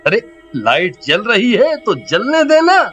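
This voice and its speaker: Man playing an irritable, sharp-voiced cartoon father. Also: high voice, huge pitch swings, slow, faint music behind, exaggerated voice. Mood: annoyed. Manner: dismissive, scolding. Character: irritable, scolding father of two boys, cartoon.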